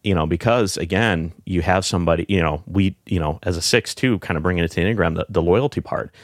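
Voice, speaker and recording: A middle-aged man with a very low, deep voice. He talks in a reflective, halting way, circling back with fillers and restarts. The sound is close and dry, with no room around it. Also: no room sound. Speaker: podcast guest. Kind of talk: casual heartfelt conversation.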